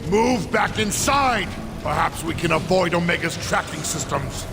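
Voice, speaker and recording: A man playing an arrogant, wrathful robot tyrant in a video game, pompous and scornful, with a gravelly voice. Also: high voice, expressive, normal speed, noisy background. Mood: cold, menacing. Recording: loud music over the voice.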